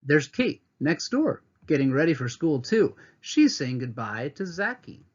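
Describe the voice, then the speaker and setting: Male teacher, read-aloud to kids, animated higher voice, slightly muffled webcam audio. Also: unhurried. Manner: expressive storybook reading with teacherly asides. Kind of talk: read-aloud lesson for children.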